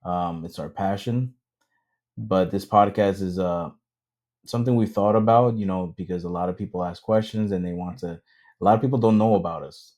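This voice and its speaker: Low, mellow-voiced male podcast host. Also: normal speed. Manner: hesitant, many ums, switches into Spanish. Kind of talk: casual chat.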